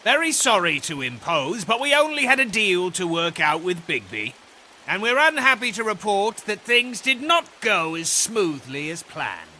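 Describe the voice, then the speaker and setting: A sneering game villain with a high, piercingly bright voice that swings up and down. He is theatrical and mock-courteous, taunting with menace over a hiss of rain. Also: very animated, moderate pace, heavily acted. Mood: mock-polite showmanship. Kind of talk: video game voice acting.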